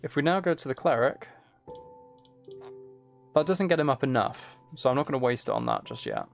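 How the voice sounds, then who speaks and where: Musing man with creaky voice, gaming. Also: mid-range voice, huge pitch swings, moderate pace, soft background music. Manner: musing, self-talking, hesitant planning. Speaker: gaming streamer. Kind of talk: casual gameplay commentary, thinking aloud. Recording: keyboard clicks and typing noise. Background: faint noise, computer keyboard and mouse clicks.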